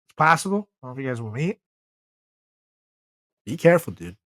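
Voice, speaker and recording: A man's voice: medium pitch, very animated, a little fast, fairly bright tone, dry recording, clean studio sound. A man hosting a comedy podcast with casual, profane banter and theatrical announcer flourishes. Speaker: podcast host.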